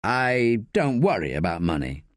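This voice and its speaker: A man's voice: fairly low pitch, big pitch swings, a little slow, no room sound, clean studio sound. A man voicing a guarded, wry male detective in a narrated story.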